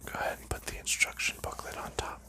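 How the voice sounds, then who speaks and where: A man hosting an ASMR video in a soft unvoiced whisper, calmly narrating each step as he packs up a board game, with relaxed fillers. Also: fast talker. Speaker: ASMR channel host.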